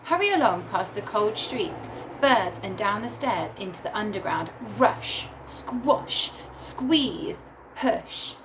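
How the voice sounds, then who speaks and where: A woman teacher reading a picture book aloud to young children in a deliberate, animated storytelling way. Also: medium pitch, background noise. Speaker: primary school teacher reading to her class.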